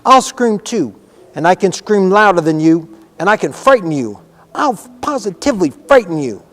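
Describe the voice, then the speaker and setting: A man's voice: high voice, sing-song, normal speed, fairly bright tone, big-room sound, voice pushed up. A man voicing a fierce, sour, hot-tempered young girl in a storybook, scolding emphatically. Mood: furious shouting.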